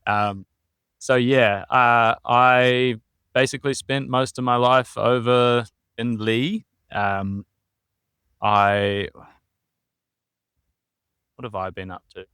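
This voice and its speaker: A young man with a New Zealand accent, a podcast guest telling his travel story in a relaxed, meandering way with frequent ums. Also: medium pitch, slow, long pauses, smooth, dry recording, studio quality. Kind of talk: casual interview chat.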